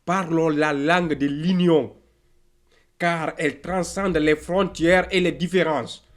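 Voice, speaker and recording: Slow, higher-pitched man reciting motivational verse. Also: fairly even intonation. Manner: declamatory, exhortative, short measured phrases with deliberate pauses. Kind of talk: recited motivational poem, spoken word.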